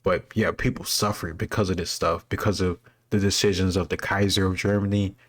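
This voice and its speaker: Casting: male, very low voice, little pitch movement, off-the-cuff explainer who thinks aloud. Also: flat delivery, brisk. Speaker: host answering history quiz questions. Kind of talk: casual reaction commentary, thinking aloud.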